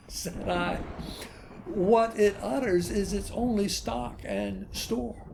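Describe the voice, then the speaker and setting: A man giving a dramatic, theatrical recitation of a gothic poem, with halting delivery and long pauses. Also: a little high, gravelly, darkish tone, a little hiss or hum. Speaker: poetry reader.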